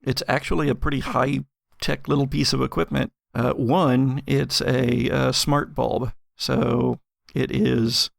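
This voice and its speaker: A man narrating a tabletop role-playing game as game master, improvising scenes in a halting way as he searches for words. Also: medium pitch, little pitch movement, creaky, no room sound, studio quality.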